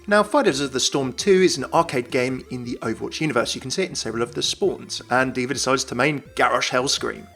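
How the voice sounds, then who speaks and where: A man's voice: medium pitch, fast, vocal fry, few pauses, fairly bright tone, faint music behind. A man narrating a scripted video game explainer as a YouTube host, enthusiastic and explanatory.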